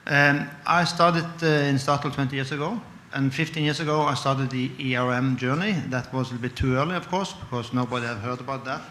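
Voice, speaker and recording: A man from Norway with a Norwegian accent, introducing himself on a panel in a halting way, searching for words. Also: mid-range voice, pushed and bright, echoey room, a little hiss or hum. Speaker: head of enterprise risk management, panelist. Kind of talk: conference panel self-introduction.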